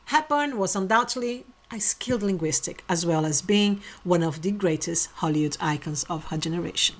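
A woman hosting a video, narrating a short biography and introducing guest speakers in an expressive, emphatic way. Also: lowish voice, moderate pace. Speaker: host.

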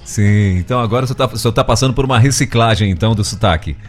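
Chatty Brazilian radio host, faint music. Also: male voice, fairly low pitch, rapid-fire. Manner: chatty, many tag questions. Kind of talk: morning radio chat.

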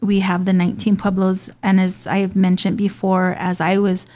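A woman's voice: mid-range voice, completely flat delivery, normal speed, darkish tone. A woman who grew up in Hawaii, hosting and talking in a casual, earnest way with frequent ums. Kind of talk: casual conversational talk.

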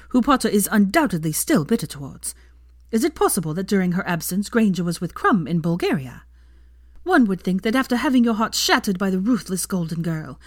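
A woman's voice: mid-range voice, no echo, clean studio sound. A woman voicing a gossipy, snide tabloid journalist reading out her exposé with mock concern and insinuation. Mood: speculative, catty. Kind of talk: scripted newspaper column read aloud.

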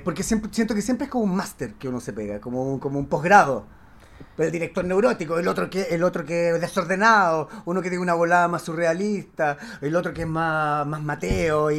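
A man with a Chilean accent talks casually about himself as a guest. His delivery is expressive and full of slang. He often repeats a word or phrase to stress it. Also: on the high side, brisk, vocal fry. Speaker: actor, podcast guest. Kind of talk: casual chat, personal anecdotes.

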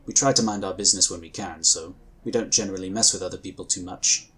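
A courteous, low, bright-voiced sci-fi inquisitor. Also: male voice, fairly even intonation, husky. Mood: apologetic, polite. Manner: formal, measured, polite wording. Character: courteous male magic inquisitor, reassuring sci-fi recruiter of children. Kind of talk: sci-fi audio drama acting.

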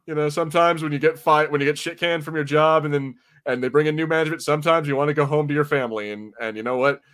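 A man chatting informally as a podcast host, jokey and loose, with halting restarts as he talks. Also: fairly high pitch, a bit bright. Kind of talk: casual chat.

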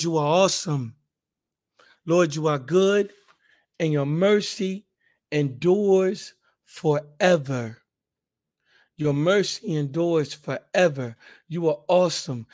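A man with a hoarse, raspy voice, hosting a casual Bible podcast and leading a fervent spoken prayer. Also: a little high. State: hoarse, raspier than usual.

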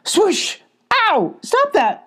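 A woman voicing a cautious, excitable little girl in a children's story, fretting and protesting. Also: very high-pitched, extremely slow, pitched above the performer's own voice, full character voice. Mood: alarmed.

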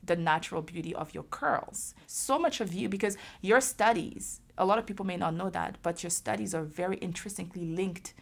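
A woman's voice: lowish voice, a little fast, creaky, few pauses. A woman hosting an interview, asking curious and engaged questions. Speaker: host, interviewer. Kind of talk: conversational interview.

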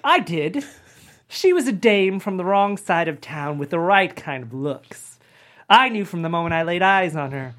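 Casting: woman to play thirty-something male stage actor, lower-pitched, table-read delivery. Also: lively intonation, normal speed, a bit bright. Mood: hardboiled. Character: stage actor in his thirties, theatrical gangster monologue and backstage banter.

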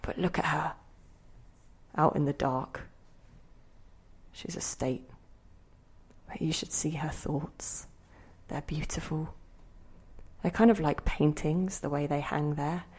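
Low-voiced woman a possessive, wistful inner spirit. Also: steady tone, slow pace, long pauses, husky, in a whisper, full character voice. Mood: wistful, awed. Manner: theatrical, musing, by turns sharp and intimate. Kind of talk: audio drama monologue and dialogue.

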